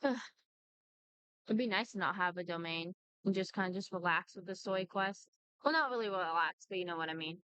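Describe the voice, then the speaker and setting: A woman's voice: lowish voice, normal speed, fairly bright tone, smooth, dry recording. A woman streaming a video game, chatting casually and reading dialogue aloud over game music.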